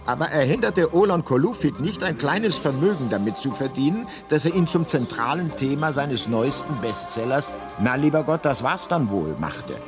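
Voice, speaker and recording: An actor playing a talking guidebook in a radio drama, narrating with deadpan, mock-scholarly precision and dry irony. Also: male voice, a little high, moderate pace, few pauses, over music, close to an everyday voice. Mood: dry, ironic.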